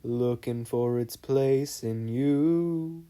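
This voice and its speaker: A story narrator voicing an older great-uncle who half-sings a slow, lilting karaoke song. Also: mid-range voice, mellow, clean tone. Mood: solemn, lilting. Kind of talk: slow half-sung delivery.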